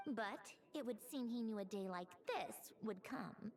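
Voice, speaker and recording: An actress voicing a calm, wistful young woman in a fantasy game, speaking in a soft, reflective, measured way. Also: on the high side, faint music behind. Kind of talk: game voice acting.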